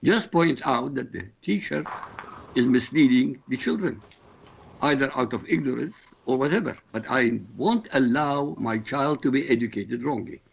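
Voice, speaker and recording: A man from Iraq whose first language is Arabic, a show regular, giving blunt, forceful opinions on talk radio. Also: medium pitch, lively intonation, moderate pace, vocal fry, slightly rough, dull audio.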